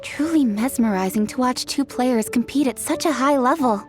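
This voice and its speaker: A woman acting a polite, refined young lady in a video game. Her voice is high and courteous, with music clearly playing behind it. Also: normal speed, close to an everyday voice. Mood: admiring, curious.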